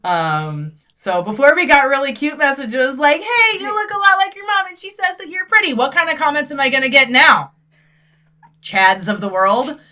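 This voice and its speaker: A woman in her thirties co-hosting a podcast, chatting in a blunt, brash way with plenty of swearing and quick laughs. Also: sits high, lively intonation, pushed and bright. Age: 30s. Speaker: podcast co-host. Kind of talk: casual chat.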